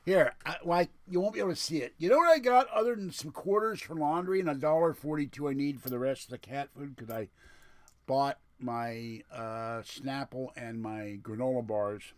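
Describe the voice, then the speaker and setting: A man's voice: medium pitch, normal speed, no room sound. A man with a lisp chatting casually and rambling to viewers on a livestream, with a digressive, grumbling manner. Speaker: livestream host.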